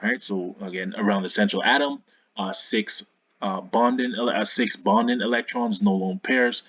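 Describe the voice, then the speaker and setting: A man's voice: on the low side, normal speed, fairly bright tone, husky, no room sound, lo-fi sound. A man giving an informal science tutorial as a video host, hesitant, often repeating and correcting himself.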